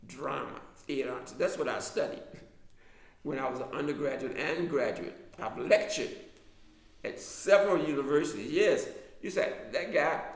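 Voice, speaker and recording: A man's voice: medium pitch, moderate pace, vocal fry, echoey room, low-quality recording. An older man from the US South with a mild Southern American accent, an author and lecturer talking to a class in a rambling way, full of restarts and fillers. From Alabama, US South. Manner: digressive, many restarts and fillers.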